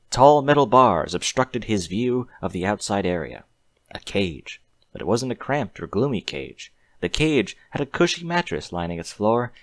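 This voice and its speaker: A man with a fairly low voice reads scripted fiction as narrator. His delivery is expressive, with very animated intonation. The recording is close and dry. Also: no echo.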